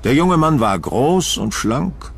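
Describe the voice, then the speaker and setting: A man narrating a horror audio drama in a dramatic, deliberate storytelling manner, as a brooding gothic storyteller. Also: medium pitch, very animated, gravelly, slightly rough, heavily acted. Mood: suspenseful.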